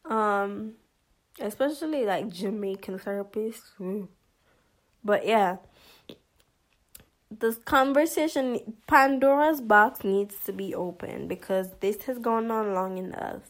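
A woman vlogger giving casual commentary in a monotonous voice, hesitant, with many fillers and restarts. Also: medium pitch, slow pace.

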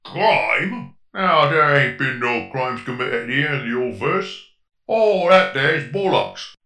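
A man doing a gravelly Cockney robot. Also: mid-range voice, pushed and bright, roomy sound, heavily acted. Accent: put-on Cockney. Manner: slangy, rough-edged, folksy. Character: cheerful male Cockney robot crewmate. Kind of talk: comic audio drama acting.

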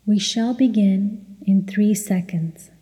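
A woman narrates a guided meditation in a very soft, dark, relaxed tone. She speaks slowly and soothingly, with measured instruction, and her voice trails off in a long reverb. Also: medium pitch.

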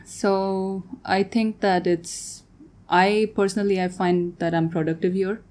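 A woman's voice: medium pitch, level tone, a little slow, a little mellow. A young woman who grew up in Tanzania, a guest artist talking casually in an interview, often laughing and trailing off mid-sentence.

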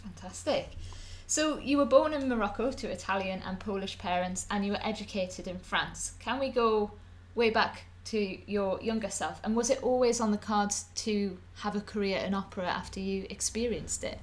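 A woman's voice: medium pitch, moderate pace, hardly any pauses. A woman with a mid-range voice interviews a guest. She asks questions and nudges him along with short encouraging replies. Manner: encouraging, brief affirmations.

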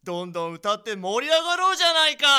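A man's voice: very high voice, sharp tone, heavily acted. A man playing an oblivious, cheerful company president who is effusive and jovial in a comic radio drama. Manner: effusive, chortling, blithely jovial.

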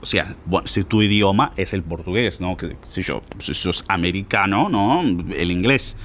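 Animated male teacher. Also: sits low, normal speed, slight background noise. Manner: informal, insistent, slangy, addresses listener directly. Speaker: Spanish teacher for learners. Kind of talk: casual spoken lesson.

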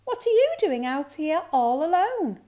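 A little girl from a children's story, acted by a woman narrator. Her voice is lifted high and sounds kind and sure of herself. She talks in a plain, reassuring manner. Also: very animated, measured pace, warm tone.

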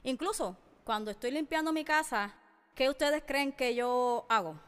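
Puerto Rican woman, bright high voice. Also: animated, normal speed, clear, resonant tone. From Puerto Rico. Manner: emphatic, addresses listeners directly. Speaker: podcast host. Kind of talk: personal podcast monologue. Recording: long reverberant hall echo.

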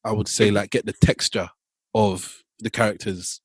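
A man co-hosting a podcast, asking questions in a halting way full of fillers and restarts. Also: lowish voice, steady tone, husky, dry recording. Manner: hesitant, many fillers and restarts. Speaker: podcast co-host. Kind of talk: casual podcast conversation.

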